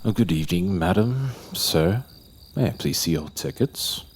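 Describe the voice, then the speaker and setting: An actor playing a formal, servile doorman with eerie courtesy and a breathy voice in an audio drama. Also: deep voice, expressive, normal speed, noisy background. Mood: unctuous. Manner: servile, ceremonious.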